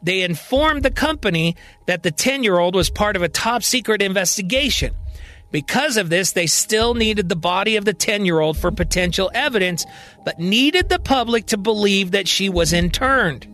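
A man's voice: sits high, lively intonation, normal speed, bright, forceful tone. A man narrating a scripted audio fiction serial as its storyteller.

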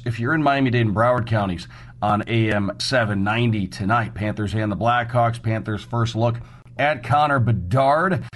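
A man hosting a sports radio show, running through hockey details in a conversational rundown and recapping key points. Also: mid-range voice.

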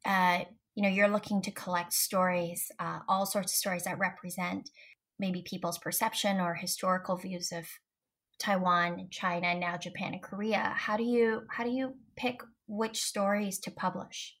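A woman's voice: lowish voice, steady tone, normal speed, a bit bright. Upbeat woman hosting an interview. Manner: upbeat, enthusiastic. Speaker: host.

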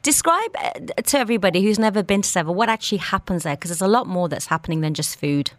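A woman's voice: mid-range voice, animated, fast talker, dry recording. Woman, radio host, chatty, enthusiastic, dry studio mic. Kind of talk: radio talk show. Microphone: studio microphone.

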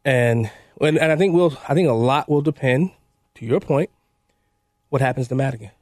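A man on a political talk panel who speaks in an animated way, stumbles over restarts and jokes with the others. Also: mid-range voice, normal speed, no room sound, studio quality. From Illinois. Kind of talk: casual political discussion.

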